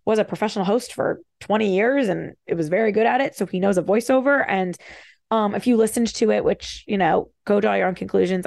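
A woman hosting a solo podcast, talking fast and casually with wry, animated asides. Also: medium pitch, gravelly, no echo. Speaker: podcast host. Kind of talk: casual solo commentary, reading bios with asides.

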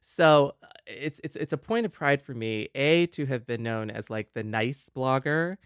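A man's voice: medium pitch, unhurried, clear, resonant tone, no room sound, studio quality. A man co-hosting a podcast in an earnest, wordy, conversational way. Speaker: podcast co-host, former blogger.

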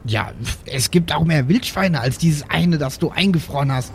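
A man plays a small, anxious hermit with a gravelly, fairly high voice. He is a worried, argumentative adventurer in a tabletop audio game, heard over noisy ambience. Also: moderate pace. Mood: alarmed.

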